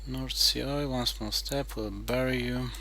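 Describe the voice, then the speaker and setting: A man playing a strategy game and thinking aloud in terse, halting remarks, muttering to himself as he weighs his moves. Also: medium pitch, steady tone, sharp tone. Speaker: board game player.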